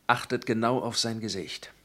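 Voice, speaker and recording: A man's voice: fairly low pitch, steady tone, normal speed, natural voice. A man acting a stern young resistance leader who interrogates and commands, in a radio drama. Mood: commanding. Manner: commanding, interrogating, shouting in bursts. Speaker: radio play actor.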